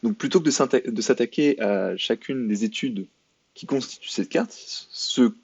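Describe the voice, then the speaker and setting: A man's voice: mid-range voice, expressive, moderate pace, creaky, a little mellow, slightly rough, no echo. A French-born man talking through a sarcastic commentary monologue, full of fillers and restarts. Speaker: video commentator. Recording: dry, slightly dull lo-fi sound.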